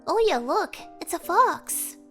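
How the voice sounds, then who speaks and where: A woman's voice: very high voice, very animated, slow, soft background music, voice pushed up, full character voice. A woman voicing an eager young boy who bickers bossily with his little sister. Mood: eager, insistent. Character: young boy, eager, argumentative older brother.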